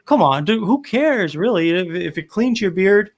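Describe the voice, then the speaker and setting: A man from Rhode Island hosting a casual livestream chat, telling stories in a lively, chatty way. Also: high voice, moderate pace. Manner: chatty storyteller, animated when telling a joke.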